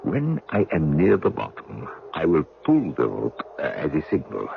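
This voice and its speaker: A man's voice: very deep voice, huge pitch swings, moderate pace, vocal fry, heavily acted. An actor playing a tall, wry, resolute French general in his sixties, planning an escape in an old-time radio drama. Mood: hushed, urgent. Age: 60s. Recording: dull, noisy old radio recording.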